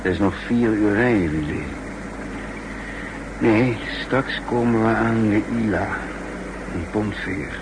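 A low-pitched male secret agent, cool and resourceful under threat. He explains patiently with dry wit, in 1960s radio drama style. The old recording is plainly noisy. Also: slow, long pauses. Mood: grim, composed. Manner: calm, wry, explaining patiently. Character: cool, resourceful captured male spy narrating his story.

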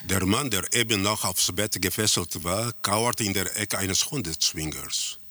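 Casting: cool, detached storyteller for surreal radio play, deliberate slow pace, lowish register. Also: male voice, bright, forceful tone, no echo, exaggerated voice. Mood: detached, measured. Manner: measured, detached, descriptive. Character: detached male narrator describing surreal scenes. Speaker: narrator. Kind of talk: scripted radio drama narration.

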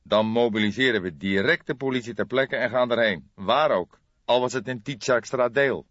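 A man voicing an old, grey-haired police detective, patient and measured, in an audiobook. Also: medium pitch, a bit bright, dry recording, pitched above the performer's own voice, natural voice. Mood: grave, resolute. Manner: measured, thoughtful, hesitant hums. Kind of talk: audiobook character dialogue. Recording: dull lo-fi recording.

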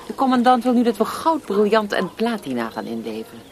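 A wry, stoical woman prisoner chats with dry defiance in a radio play. Her pitch moves a great deal, over noisy outdoor ambience with birds. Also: big pitch swings, fast. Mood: wry, defiant. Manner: dry, colloquial, sardonic. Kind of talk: radio drama dialogue.

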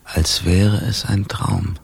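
An actor playing an eccentric, confused composer in a German radio drama, speaking in a halting, musing, courtly way. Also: male voice, low-pitched, fairly even intonation, gravelly, slightly rough, heavily acted. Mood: confused, searching. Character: eccentric, confused amnesiac male composer in 1917 Paris.

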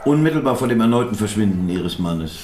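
An actor playing a persistent investigator in a radio drama, questioning in a measured, probing way. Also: male voice, lowish voice, expressive, a little mellow, slight background noise, lightly acted. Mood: businesslike. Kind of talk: naturalistic radio drama acting. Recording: echoey room with faint background ambience.

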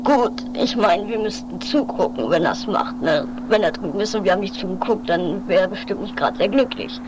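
A woman's voice: mid-range voice, expressive, fairly quick, lightly acted. Woman acting a worried commentator, very noisy recording. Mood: matter-of-fact. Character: worried, matter-of-fact companion commenting on a dangerous stunt. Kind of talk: improvised trashy comic-horror radio play. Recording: very noisy 1980s home-made recording.

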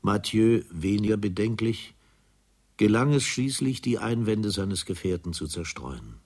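A man's voice: fairly low pitch, animated, measured pace, darkish tone. A man narrating a literary radio drama in a formal, solemn manner. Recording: music behind the voice.

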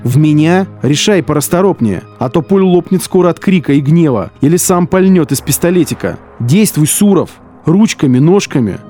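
An actor playing a hardened collaborator squad leader, narrating in first person and taunting others in a harsh, contemptuous voice, with music underneath. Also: male voice, a little high, expressive, moderate pace, warm tone, natural voice. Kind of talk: radio drama acting. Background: music score.

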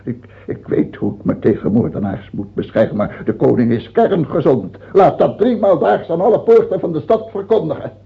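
A man's voice: on the high side, animated, moderate pace, vocal fry, hardly any pauses. An actor in a radio play as a stubborn, self-important king who is terrified of dying, speaking in an imperious, pompous way. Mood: imperious, excited. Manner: imperious, pompous, swinging between proclaiming and muttering. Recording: tinny, band-limited old radio play.

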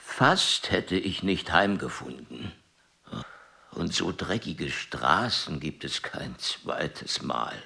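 Grumpy, creaky-voiced old scientist. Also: male voice, extremely low pitch, big pitch swings, takes it slowly, pushed and bright, full character voice. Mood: grumbling. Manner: curt, grumbling. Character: grumpy, blunt old genius scientist, impatient and weary. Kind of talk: German radio play acting.

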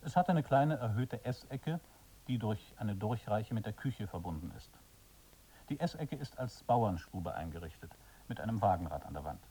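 Low, husky male radio play narrator. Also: expressive, moderate pace, mellow, recorded from a distance. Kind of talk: scripted scene-setting narration.

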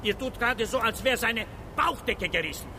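High-pitched barking, bullying foreman. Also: lively intonation, moderate pace, noisy background. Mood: irritated, commanding. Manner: barking orders, coarse slang, impatient. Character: bullying, panicky foreman of forest labourers. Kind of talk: German radio drama acting. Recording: loud sound effects and music behind.

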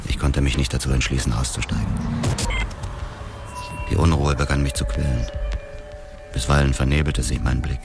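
An actor playing a grief-haunted, obsessive man who narrates a radio crime drama in a brooding, measured way. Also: extremely low pitch, flat delivery. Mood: brooding, tense. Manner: brooding, measured first-person telling. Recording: music behind the voice.

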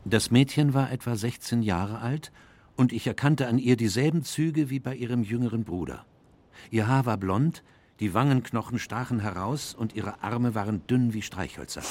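An actor playing a measured, reflective gentleman who narrates a radio play in the first person. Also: mid-range voice, close to an everyday voice.

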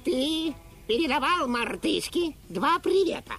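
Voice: high voice, very animated, unhurried, a bit bright, faint music behind, heavily acted. An actor playing a bossy, pedantic male parrot in a children's audio play, with a didactic, self-important manner. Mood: officious.

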